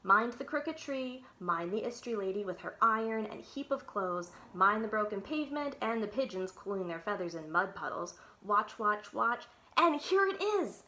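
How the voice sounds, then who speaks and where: Female, bright medium-pitched voice. Expressive children's read-aloud, animated and clearly enunciated. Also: normal speed, hardly any pauses. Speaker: librarian reading aloud to children.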